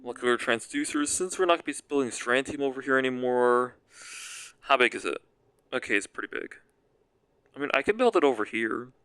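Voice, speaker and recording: Raspy young man thinking aloud. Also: mid-range voice, lively intonation, a little fast, fairly bright tone, dry recording. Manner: rambling, self-mocking, thinks aloud. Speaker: gaming commentator. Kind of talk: casual gameplay commentary.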